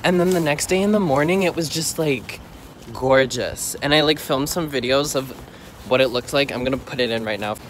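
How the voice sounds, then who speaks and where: A young man vlogging casually on a phone at arm's length, walking city streets and chatting excitably with lots of "like". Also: medium pitch, normal speed, a little hiss or hum. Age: young adult. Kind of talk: casual vlog chat. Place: bedroom indoors, then city streets outdoors. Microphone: phone held at arm's length.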